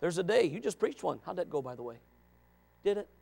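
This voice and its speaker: High-voiced shouting preacher man. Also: sing-song, a little fast, creaky. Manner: loud, shouting, with joking asides to the congregation. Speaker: pastor. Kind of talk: revival sermon.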